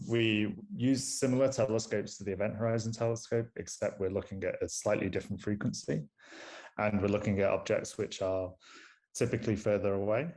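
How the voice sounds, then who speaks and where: A young man from Manchester speaks in a thick northern English accent, his voice sitting very low. He explains his research as a podcast guest in an easy, conversational way, pausing to restart phrases now and then. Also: a little mellow, low-quality recording. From Manchester, England. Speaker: astronomer guest. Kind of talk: casual podcast interview.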